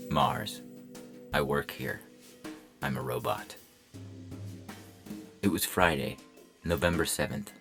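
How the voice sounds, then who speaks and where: A man playing a deadpan robot police officer who narrates a hardboiled detective story in clipped phrases. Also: a bass voice, gravelly, exaggerated voice. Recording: music bed behind the voice.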